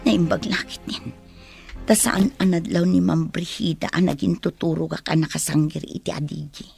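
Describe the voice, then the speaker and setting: A woman playing a frightened, desperate young woman in a radio drama, often breathy and urgent. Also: lowish voice, fairly even intonation, normal speed, a little mellow, faint music behind. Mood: fearful, furtive. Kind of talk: Ilocano radio drama acting.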